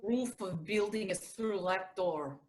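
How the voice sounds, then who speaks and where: A woman playing a terse, paranoid female soldier in a comic stage play, speaking in clipped, deadpan sentences without articles. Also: medium pitch, slow, lightly acted. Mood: stern.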